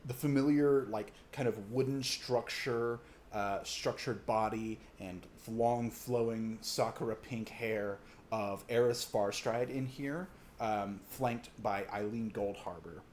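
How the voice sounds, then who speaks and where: A man narrating a tabletop role-playing game as game master, in halting, conversational descriptions. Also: medium pitch, darkish tone, slight background noise.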